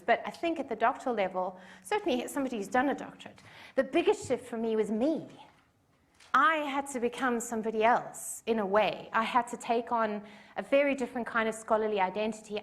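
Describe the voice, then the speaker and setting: Chatty South African woman at a conference. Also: medium pitch. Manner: chatty, self-deprecating, with frequent fillers. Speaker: conference speaker. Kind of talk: conference talk. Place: conference room.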